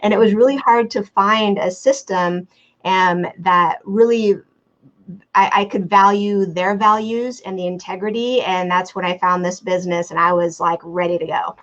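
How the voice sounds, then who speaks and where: A middle-aged American woman chats as a guest on a video call, her voice at a middle pitch. She talks freely, with frequent fillers and easy laughter. The call audio is dull and lo-fi. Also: steady tone, moderate pace, fairly bright tone. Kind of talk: casual interview talk.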